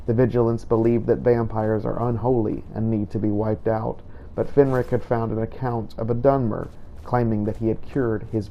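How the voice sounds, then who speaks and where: A man's voice: fairly low pitch, dark tone, a little hiss or hum, lightly acted, rough recording. A man narrating a game roleplay as a grim, devout vampire hunter, in a solemn and formal storytelling manner. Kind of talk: scripted in-character story narration.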